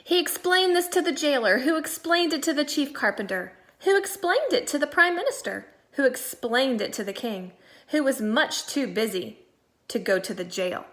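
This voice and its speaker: A woman with a fairly high voice reads a children's storybook aloud like a primary school teacher. Her delivery is deliberate and expressive, leaning on key words so young listeners can follow. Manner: deliberate storyteller, stressing words, dropping to quiet asides. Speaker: second-grade teacher.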